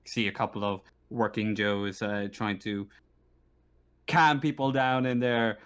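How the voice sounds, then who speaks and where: A man's voice: lowish voice, steady tone, measured pace, fairly bright tone, smooth. A man improvising narration as a tabletop game master, hesitantly, often stumbling and repeating words.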